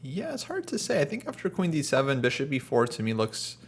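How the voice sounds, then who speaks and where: Guy chess commentator, laid back, hedgy, bit gravelly. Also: a little high, fairly quick. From United States, raised in California. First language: Russian. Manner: relaxed, hedging, lots of fillers. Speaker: chess commentator, international master. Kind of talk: casual chess commentary chat.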